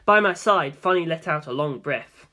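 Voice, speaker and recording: Bright, high-voiced man narrating a story. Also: lively intonation, moderate pace. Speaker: audiobook narrator. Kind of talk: scripted first-person story narration.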